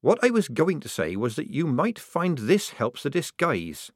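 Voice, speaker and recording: A man's voice: a little high, huge pitch swings, unhurried, dry recording, pitched above the performer's own voice, exaggerated voice. A man voicing a practical young woman disguised as a male soldier, speaking dryly and reasonably. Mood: wry. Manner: dry, reasonable. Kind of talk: audiobook character voice.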